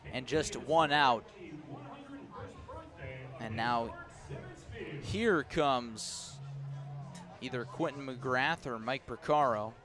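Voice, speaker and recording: A man doing live radio play-by-play of a baseball game as the announcer. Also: mid-range voice. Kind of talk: live radio sports commentary. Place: press box at an outdoor ballpark.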